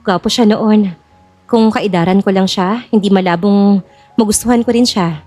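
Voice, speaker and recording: A woman's voice: mid-range voice, fairly even intonation, normal speed, darkish tone, no room sound, soft background music, lightly acted. A woman playing an earnest, guarded young bride-to-be in a Filipino audio drama, speaking conversationally. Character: Jasmine, worried young bride-to-be of a rich old man.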